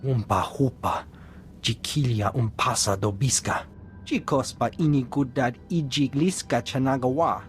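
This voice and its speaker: A man's voice: medium pitch, moderate pace, faint music behind, lightly acted. A man voicing an alien character in a video game, speaking in an invented alien tongue. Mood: matter-of-fact.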